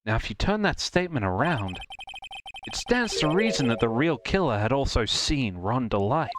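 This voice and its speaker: A man's voice: mid-range voice, sing-song, normal speed, noisy background, natural voice. A man voicing an earnest young defense attorney with emphatic delivery in a live fan dub of a courtroom game. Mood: confident. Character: earnest young male defense attorney, bold but easily rattled. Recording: game music behind the voice.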